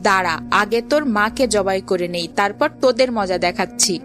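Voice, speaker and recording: A woman's voice: mid-range voice, normal speed, fairly bright tone, faint music behind, lightly acted. A woman playing a curious, stubborn young boy in a children's cartoon, with an earnest, childlike manner. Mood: stern annoyance.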